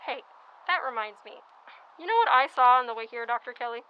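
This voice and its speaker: High, slangy young nurse played by a woman. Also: animated, brisk, smooth, highs cut off, close to an everyday voice. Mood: weary, chatty. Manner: folksy, slangy. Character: tired, chatty young 1910s working-class nurse.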